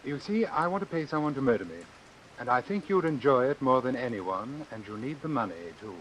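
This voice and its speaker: An actor playing a calculating, formal doctor who deliberately and persuasively arranges his own death in an old-time radio suspense drama. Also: medium pitch, lively intonation, fairly bright tone, dull audio, close to an everyday voice. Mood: cool, insistent. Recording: hissy vintage radio recording with rain. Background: hiss and falling rain.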